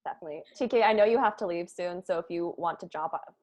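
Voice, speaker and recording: A woman's voice: lowish voice, fast talker, a little mellow. A woman leading a script table read as its writer, talking casually with the group and tossing in joking asides.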